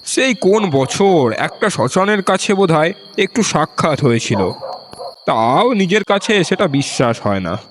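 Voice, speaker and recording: A man's voice: fairly high pitch, very animated, unhurried. A man narrating a scripted first-person ghost story in a storyteller's style.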